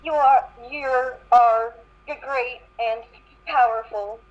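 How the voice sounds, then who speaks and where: Helpless storybook mare, read by a woman, begging and protesting, slightly raised pitch, muffled lo-fi sound. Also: steady tone, slow pace. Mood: pleading. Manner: pleading, protesting. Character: helpless, pleading young female pony.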